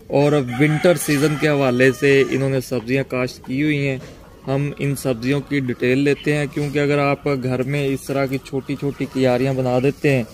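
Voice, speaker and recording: A man's voice: medium pitch, darkish tone, slight background noise. A man in his thirties hosts a garden walk-through, asking polite questions and echoing the answers for his viewers. His voice sits in an ordinary middle register. Faint outdoor ambience and birds sit behind him. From Pakistan. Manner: polite, repeats answers back, addresses viewers as friends. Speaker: vlogger host. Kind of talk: guided interview vlog. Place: outdoor walled kitchen garden.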